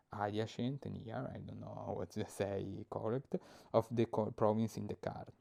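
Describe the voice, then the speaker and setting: A man's voice: on the low side, vocal fry, dark tone. A young man with an Italian accent, explaining board game rules in hesitant English, often stopping to search for words. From Italy. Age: young adult. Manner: halting, searching for words, many fillers.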